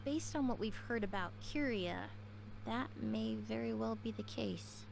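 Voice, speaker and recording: Gentle, composed high-voiced perfumer. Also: female voice, vocal fry, noisy background. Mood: reassuring. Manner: soothing, polite, measured.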